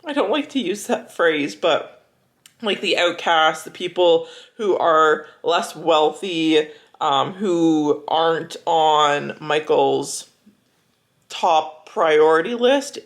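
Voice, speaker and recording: A young adult woman chatting casually as a book vlogger, gushing and animated, with dramatic emphasis and plenty of "like" as filler. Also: low-pitched, takes it slowly. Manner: frequent filler "like". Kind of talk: casual reading vlog chat.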